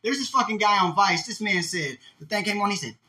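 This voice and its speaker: A man co-hosting a casual podcast, talking in loose, slangy, profane jokes while high. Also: lively intonation, fairly quick, pushed and bright, roomy sound. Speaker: podcast co-host.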